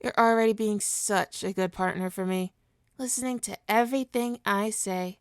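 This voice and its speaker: A woman playing a suave supervillain hypnotist in an ASMR roleplay, coaxing and possessive, with a soothing, deliberate, mock-polite manner. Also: mid-range voice, steady tone, smooth, dry recording, studio quality, lightly acted. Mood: hypnotic, commanding.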